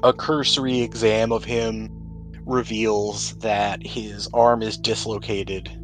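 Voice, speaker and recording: A man's voice: fairly low pitch, little pitch movement, takes it slowly, a bit bright, faint music behind, low-quality recording. A man speaking as game master, narrating a tabletop roleplaying game in a conversational way.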